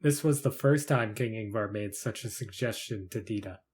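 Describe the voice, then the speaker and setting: A man's voice: lowish voice, moderate pace, darkish tone. A man reading scripted narration for a fantasy short story in the third person.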